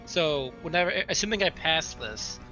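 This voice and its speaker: A man's voice: on the high side, expressive, moderate pace, sharp tone, highs cut off. A man talking casually as a player in a tabletop roleplaying game, blunt and assertive. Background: music.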